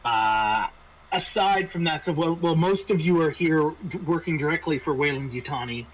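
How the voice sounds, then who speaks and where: A man running a tabletop roleplaying game, casually briefing his players in a halting, explanatory way with frequent hesitations and restarts. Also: on the high side, a little hiss or hum, rough recording. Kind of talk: semi-improvised briefing to players, narrating a scenario.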